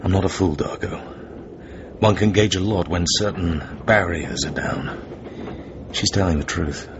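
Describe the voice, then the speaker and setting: A suave British secret agent in a radio play. His voice is low and creaky, his delivery clipped, cool and understated, on a muffled recording. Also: takes it slowly, noisy background. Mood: measured, cool. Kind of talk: radio drama acting.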